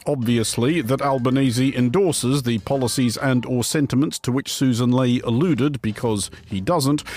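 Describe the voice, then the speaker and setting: A man presenting a satirical news review as scripted narration, with a deadpan, wry and wordy delivery. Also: a little high. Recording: music bed under the voice. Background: music.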